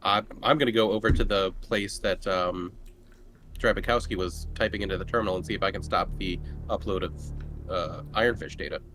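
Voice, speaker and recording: A man's voice: low-pitched, fairly quick, a bit bright, slight background noise. A man playing a tabletop game, talking casually and thinking through his moves hesitantly as he goes.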